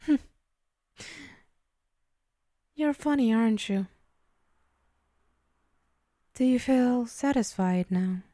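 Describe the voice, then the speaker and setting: A woman voicing a possessive demon mistress for an ASMR roleplay, dark and mellow in tone. She speaks slowly and haughtily, teasing with soft menace, in a very dry, intimate recording. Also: medium pitch, dry recording, lightly acted. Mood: amused, imperious. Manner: slow, teasing, condescending, murmuring into whispers.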